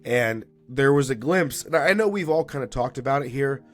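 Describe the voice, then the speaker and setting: A chatty man hosts a solo sports recap at an ordinary pitch, his voice a touch raspy and lower than usual from allergies. He talks in an upbeat, loose way and trips over the odd filler. Faint music plays underneath. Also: expressive, moderate pace. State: slightly raspy, deeper from allergies. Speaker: podcast host.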